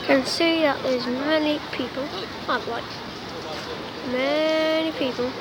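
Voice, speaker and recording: A woman with a slightly croaky voice, chattering excitedly as she vlogs with a handheld phone at an outdoor bonfire, repeating exclamations and calling people mate. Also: high-pitched, slow. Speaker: vlogger. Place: outdoor bonfire event with a big crowd. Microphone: handheld phone camera. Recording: outdoor phone audio with crowd and noise. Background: crowd chatter and outdoor noise.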